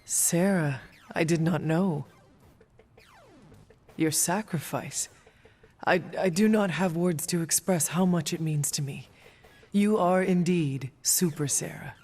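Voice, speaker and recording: A woman's voice: lowish voice, takes it slowly, long pauses, darkish tone, in a large hall, soft background music. An actress playing a dutiful, battle-hardened supernatural warrior woman in a video game, speaking in stiff, formal phrases. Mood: moved. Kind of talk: dramatic video game dialogue.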